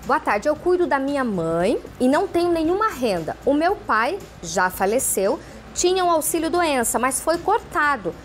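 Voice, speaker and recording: A woman TV host reading out viewer questions in the first person, in a plain, steady manner. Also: sits high, moderate pace, a little hiss or hum.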